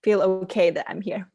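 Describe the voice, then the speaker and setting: A woman's voice: mid-range voice, husky, no room sound, clean studio sound. A woman from Finland, introducing herself casually and tentatively as a guest on an online call. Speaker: guest, youth team worker.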